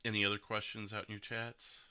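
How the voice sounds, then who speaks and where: A man's voice: on the low side, little pitch movement, brisk, bright, forceful tone, clear, resonant tone. A man hosting a podcast in casual, conversational chat. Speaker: podcast host.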